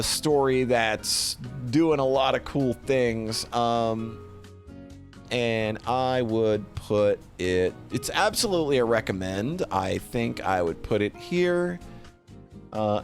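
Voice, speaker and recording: A man's voice: mid-range voice, expressive. Casual man thinking aloud over music. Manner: halting, repeats and restarts phrases while thinking aloud. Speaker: streamer reviewing games. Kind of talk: unscripted spoken review, casual commentary.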